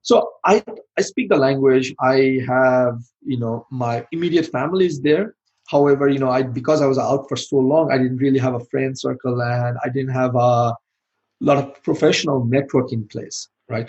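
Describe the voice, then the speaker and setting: A man's voice: mid-range voice, moderate pace, a little mellow, highs cut off. A middle-aged man from Bangladesh answering interview questions in a loose, rambling way, full of "you know" and restarts. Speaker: podcast guest, IT manager and founder.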